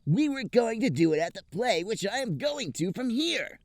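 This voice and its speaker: A man putting on a teenage cartoon hedgehog boy in a comic story. He is whiny, loud and melodramatically upset, pitched well above the man's own voice, with a close, dry sound. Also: sing-song, moderate pace, no room sound. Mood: upset, tearful.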